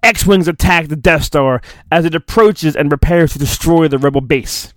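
A man hosting a podcast, giving a mock-dramatic storybook reading and breaking into jokey, deadpan asides. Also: fairly high pitch, normal speed, no room sound. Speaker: podcast host.

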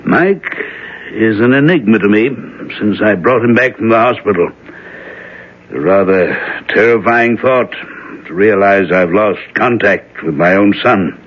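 An actor playing an older minister and troubled father, speaking in a measured, earnest, gently probing way in a 1970s radio drama. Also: fairly low pitch. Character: aging reverend, troubled worried father. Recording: muffled old radio broadcast.

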